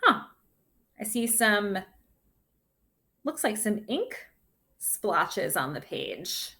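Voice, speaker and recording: A woman teacher giving a read-aloud lesson for children, reading a picture book with gentle, deliberate, expressive phrasing and her own commentary. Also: mid-range voice, creaky. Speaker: sixth grade teacher.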